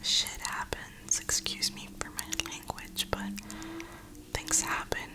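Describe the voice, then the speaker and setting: A woman whispering a reflective, rambling ASMR monologue in a breathy, unhurried way, with drawn-out pauses. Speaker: ASMR video creator.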